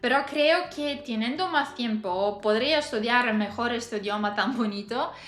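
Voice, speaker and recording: A woman's voice: on the high side, moderate pace, a bit bright. A woman in her thirties chatting casually to camera as a vlogger, laughing often between hesitant fillers. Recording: roomy echo, faint music behind.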